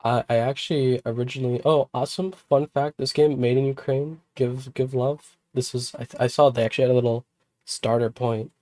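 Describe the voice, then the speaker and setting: A woman streamer with a sick, hoarse voice, chatting casually and rambling with many fillers and restarts. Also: very deep voice, steady tone, a little fast, warm tone, no room sound. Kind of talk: casual livestream chat.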